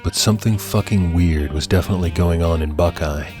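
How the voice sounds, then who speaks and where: Narrator for adult fiction: man with a low register, dramatic scripted read, guitar underscore. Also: brisk.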